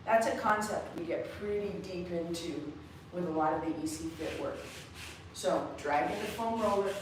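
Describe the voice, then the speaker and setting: A woman coaching a live mobility class, slightly raspy after a race, guiding each move step by step. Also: on the low side, moderate pace, noisy background. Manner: relaxed, guiding students step by step. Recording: echoey room, far from the mic.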